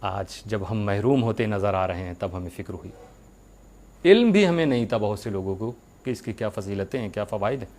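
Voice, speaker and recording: A man giving a religious sermon, speaking earnestly to his followers with rhetorical questions and deliberate pauses. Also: lowish voice, expressive, a little mellow.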